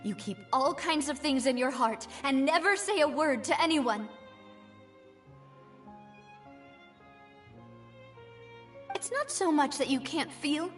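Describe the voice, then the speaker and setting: Young game heroine: high voice, determined, expressive, dramatic line readings. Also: female voice. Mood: bitter, resigned. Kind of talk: video game voice acting.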